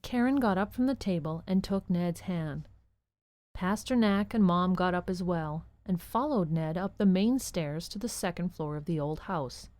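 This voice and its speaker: A woman narrating an audio drama as a gentle, earnest storybook storyteller. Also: fairly low pitch, moderate pace, no echo, lightly acted. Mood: gentle storytelling. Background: music.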